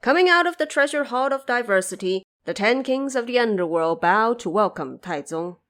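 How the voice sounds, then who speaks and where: A woman reading scripted narration for an audio drama, in a measured, formal and expressive storytelling manner. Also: on the high side.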